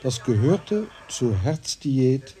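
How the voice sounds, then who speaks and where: A male actor as the narrator of a crime radio play, telling the story in a measured, scripted way. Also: mid-range voice, big pitch swings, background noise. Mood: measured, wry.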